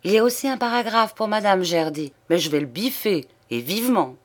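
A woman reading an audiobook, voicing an excitable, talkative old man who is vain and emphatic. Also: mid-range voice, a little fast, lightly acted. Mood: fond, emphatic. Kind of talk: audiobook character dialogue.

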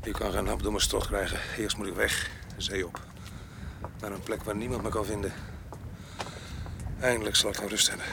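Gruff, low-voiced weary fugitive. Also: male voice, flat delivery, fairly quick, noisy background. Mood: gruff, restless. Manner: gruff, plain-spoken. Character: rough male fugitive murderer, weary, fatherly to his comrade. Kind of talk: radio drama acting.

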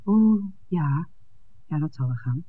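An actress playing a friendly, obliging young innkeeper's daughter in a 1960s Dutch radio drama. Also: female voice, sits low, expressive, slow pace, slight background noise. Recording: muffled, narrow old radio recording.

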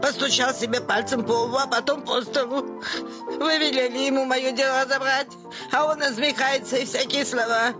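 A woman's voice: high voice, fairly even intonation, normal speed, lightly acted. An actress playing a stubborn, whining old petitioner woman in a radio play, folksy and repetitive, wheedling and scolding. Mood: wheedling, plaintive. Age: older. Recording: music behind the voice.

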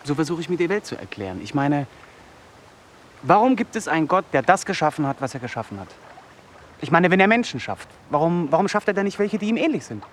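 An actor playing a vulnerable, brooding young outcast in a naturalistic radio drama, speaking in an earnest, halting, searching way. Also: male voice, fairly high pitch, very animated, a little hiss or hum.

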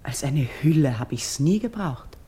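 An actress playing a gentle, troubled priestess of Greek myth in a classical verse radio drama, speaking solemnly and lyrically. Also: female voice, low-pitched, lively intonation, slightly rough, full character voice. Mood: contrite. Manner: solemn, lyrical, deliberate stage diction.

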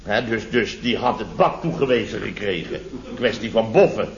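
An actor playing a flustered contractor in a 1970s radio comedy, telling domestic mishaps in halting, repetitive sentences full of tag questions. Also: male voice, on the low side, lively intonation. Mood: exasperated. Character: flustered male contractor recounting his chaotic domestic mishaps. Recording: dull vintage radio recording.

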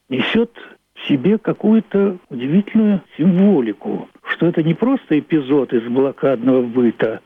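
A phone-like, narrow recording of an older man speaking on radio. His voice sits a little high and is husky. He reflects on his own work as a writer, choosing literary phrases with deliberate care. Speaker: playwright. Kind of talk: reflective interview monologue about own work.

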